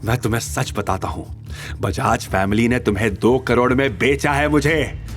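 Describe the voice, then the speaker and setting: A man's voice: mid-range voice, steady tone, normal speed, a little hiss or hum, natural voice. A man playing a rich, arrogant, lecherous villain in an audio drama, leering and condescending with a short temper. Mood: cruel, gloating. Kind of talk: Hindi audio drama dialogue. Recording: faint music behind.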